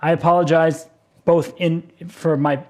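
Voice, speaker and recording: A man's voice: a little high, level tone, measured pace, a little mellow. A man commentating a blitz chess game, exclaiming at surprising moves and laughing easily. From United States.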